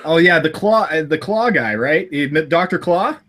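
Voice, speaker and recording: A Canadian man with a bright, slightly high voice chats on a podcast over a video call. He laughs a lot between thoughts and tends to mumble and restart his words. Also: lively intonation, normal speed, slight background noise. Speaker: podcast host.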